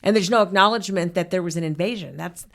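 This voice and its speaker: A woman hosting a conversational interview, with a fairly low voice. She asks questions and keeps agreeing with short affirmations. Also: fast talker.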